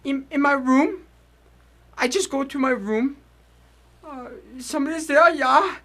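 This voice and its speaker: A man playing a frail, gentle old foreigner, speaking in halting, stammering broken phrases in a radio drama. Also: very high-pitched. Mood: weak, bewildered. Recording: noisy old 1940s radio recording.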